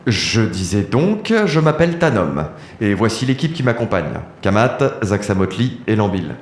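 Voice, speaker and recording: In a roomy echo, a man plays a combative veteran adventurer with a deep voice. He is curt and matter-of-fact, in audio drama style. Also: big pitch swings, moderate pace. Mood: businesslike. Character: blunt, combative male war veteran leading adventurers. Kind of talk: French audio drama acting. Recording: roomy echo, faint noise.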